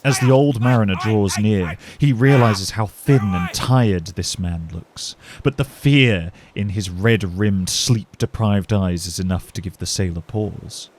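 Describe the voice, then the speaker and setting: A man narrating a scripted fantasy story in a measured, dramatic storytelling manner. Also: lowish voice, darkish tone, a little hiss or hum. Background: music.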